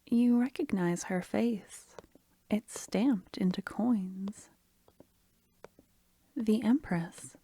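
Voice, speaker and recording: A woman narrating softly as an ASMR storyteller, half-whispering in a breathy, gentle, soothing way. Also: mid-range voice, extremely slow, long pauses, no echo. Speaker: ASMR storyteller, channel host.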